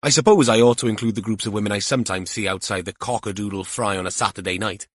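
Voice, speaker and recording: A man's voice: on the low side, fast, no room sound, studio quality, lightly acted. A man voicing an earnest, rambling young male police officer in an audiobook. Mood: protesting. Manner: rambling, literal-minded, protesting.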